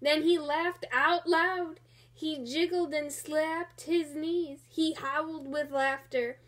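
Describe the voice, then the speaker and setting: A woman narrates a children's story in a high voice. She takes it slowly and expressively, as a reader of picture books. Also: steady tone, a bit bright.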